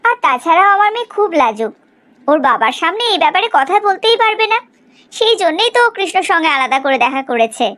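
A woman voicing a proud, sharp-tongued middle-aged village mother in a cartoon story, in an exaggerated, gossipy way. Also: very high-pitched, big pitch swings, fast talker, heavily acted. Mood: pleading, insistent. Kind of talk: Bengali cartoon story dialogue.

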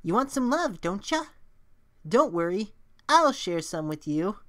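A woman's voice: mid-range voice, big pitch swings, measured pace. A woman voicing a sadistic young talking flower from a video game, folksy and saccharine with menace underneath. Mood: sugary, coaxing. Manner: saccharine folksy cheer turning to menacing sneer. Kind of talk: video game character impression.

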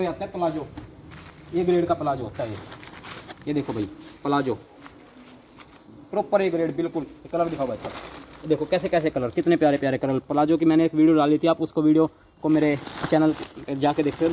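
Young male vlogger, high-pitched, pushy salesman patter, handheld phone in a big warehouse, faint background hum. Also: darkish tone, low-quality recording. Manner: eager salesman patter, repeatedly urging viewers to look. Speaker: vlogger showing wholesale clothing stock. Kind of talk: sales walkthrough vlog. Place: large indoor warehouse full of clothing bales.

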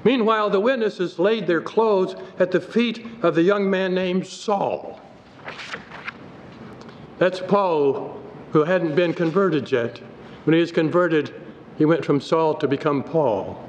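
An older man, a pastor, delivering a sermon at a pulpit in a church, reading scripture aloud from his notes in a measured way. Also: high voice. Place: church sanctuary. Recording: dull hall recording with faint noise.

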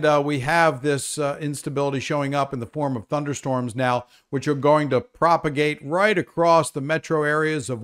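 A man presenting a live, unscripted weather briefing, explaining things in a conversational way. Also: a little high.

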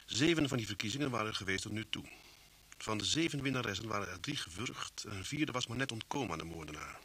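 A crisp, authoritative police inspector in an old radio play, on a noisy recording. The voice is bright and forceful, with lively swings of pitch. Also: male voice, fast. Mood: grave narration. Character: male police chief inspector, brisk and businesslike. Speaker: actor and narrator in radio serial. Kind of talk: Dutch radio drama acting.

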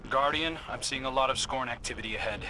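A man playing an alert soldier scout in a video game, giving a brisk, businesslike report. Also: mid-range voice, noisy background, lightly acted.

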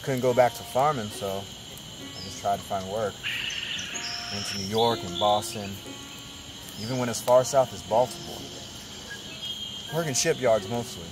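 A laconic veteran turned drifting worker, acted by a man, speaks in a lowish voice. It is period western film dialogue with music clearly behind it. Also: unhurried, long pauses, lightly acted. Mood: subdued.

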